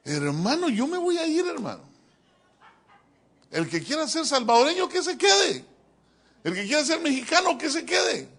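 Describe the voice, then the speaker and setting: A man preaching a sermon as a pastor, animated and joking, often addressing his listeners as brother. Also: very high-pitched, normal speed, creaky, pauses often. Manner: animated, joking, keeps calling listeners "hermano". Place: church.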